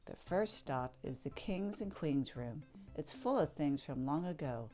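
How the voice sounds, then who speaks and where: A woman reading a children's picture book aloud, narrating in an expressive sing-song way. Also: low-pitched, unhurried, faint music behind. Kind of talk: read-aloud storybook narration.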